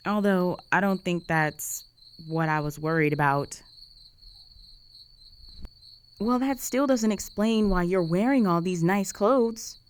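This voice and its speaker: A woman's voice: lowish voice, unhurried, smooth, lightly acted. A young woman playing a jealous, flustered roommate with a secret crush in an intimate romantic audio roleplay, speaking in a hesitant, conversational way. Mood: puzzled, insistent. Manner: conversational, hesitant, sighing, emotionally exposed.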